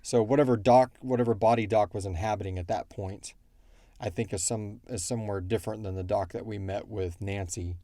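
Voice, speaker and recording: Man, low relaxed voice, very dry close sound, casual rambling explainer of fictional lore. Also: brisk, no echo. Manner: rambling, quotes characters in brief asides. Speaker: show creator answering listener questions.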